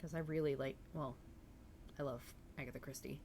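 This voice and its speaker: Chatty lady with a lowish voice hosting a book stream, talking to chat, kinda noisy audio. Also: fairly even intonation, a little fast, darkish tone. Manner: chatty, enthusiastic, reacting to chat comments. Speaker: livestream host reading books aloud. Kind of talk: casual livestream chat with viewers.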